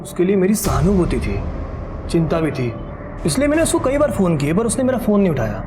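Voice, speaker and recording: A man's voice: sits high, sing-song, a little fast, background noise. A man playing a self-justifying lawyer who explains himself under questioning in a television crime drama. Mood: defensive, explaining. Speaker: actor in a television crime series. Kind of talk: Hindi TV crime drama acting. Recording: loud dramatic background music.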